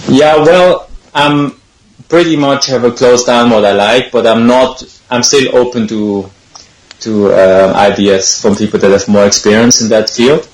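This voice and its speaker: A man from Switzerland with a Swiss accent, calling in to a radio show and answering plainly and calmly. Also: medium pitch, unhurried, reverberant, low-quality recording. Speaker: caller. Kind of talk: phone-in interview.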